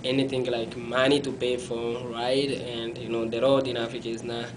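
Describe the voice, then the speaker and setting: A young man recounts his past in a small indoor room, recorded from a camera a few feet away. His speech is halting, full of restarts and self-corrections, and his pitch stays level. A steady rumble runs under the recording. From Congo. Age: young adult. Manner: halting, self-correcting, non-native phrasing; gestures with his hands while talking. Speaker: interviewee. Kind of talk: personal story in an interview.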